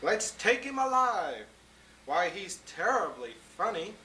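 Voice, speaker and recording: A man voicing a group of excited, greedy male hunters in a storybook, shouting their words. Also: sits high, takes it slowly, slight background noise, pitched above the performer's own voice, exaggerated voice. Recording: roomy, distant mic.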